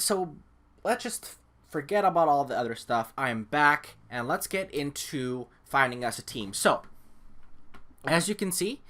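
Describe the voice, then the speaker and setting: A man's voice: mid-range voice, sharp tone. A young man from California giving casual, rambling gameplay commentary to camera at home, full of fillers and self-deprecating asides. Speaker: gaming YouTuber. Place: home room. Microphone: desk microphone.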